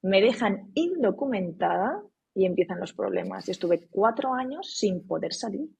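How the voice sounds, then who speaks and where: A woman's voice: mid-range voice, expressive, normal speed, gravelly, a little mellow, breathy edge, highs cut off. A woman from Spain, a podcast guest, talking in a casual interview and often restarting and repeating words for emphasis. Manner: frequent restarts, emphatic repetition.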